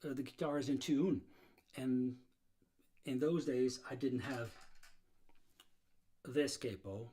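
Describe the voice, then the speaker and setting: A man's voice: medium pitch, takes it slowly, long pauses, warm tone. A middle-aged man from Serbia, hosting a blues guitar livestream in a casual, rambling way with many fillers and restarts.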